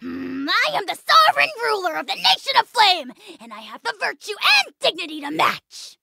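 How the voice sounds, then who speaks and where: A pint-sized, pompous male dragon spirit from a cartoonish video game. The voice is piercingly high and swoops wildly, with a sharp, gravelly squawk. He is bratty, haughty and quick to explode. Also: big pitch swings, no echo, heavily acted. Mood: haughty, grudging. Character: tiny pompous, bad-tempered male dragon spirit companion.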